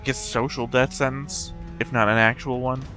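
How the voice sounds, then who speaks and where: A man's voice: medium pitch, little pitch movement, moderate pace, pushed and bright, faint music behind. A man giving off-the-cuff reaction commentary as a show host, thinking aloud and posing rhetorical questions in a musing way.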